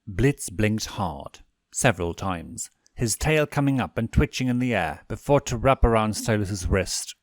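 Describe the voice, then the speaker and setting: A man reads fan fiction as a narrator. His voice sits on the low side and moves with lively intonation. The sound is dry, with little room around it. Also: measured pace, no room sound. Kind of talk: expressive scripted story narration.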